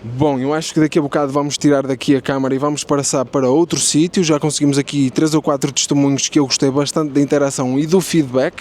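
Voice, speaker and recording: A man's voice: fairly high pitch, fairly even intonation, fast, hardly any pauses, darkish tone. A man from Portugal with a Portuguese accent, speaking politely and casually as a street interviewer and video host. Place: outdoors on a city street. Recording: noisy outdoor street with traffic. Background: clear street and vehicle noise.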